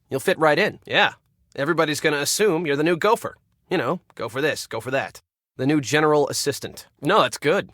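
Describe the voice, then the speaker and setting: An actor playing a pushy, irritable spa resort manager in a video game, snippy and sarcastic. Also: male voice, medium pitch, fairly bright tone, dry recording, clean studio sound, natural voice. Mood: brisk, condescending. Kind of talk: scripted video game dialogue.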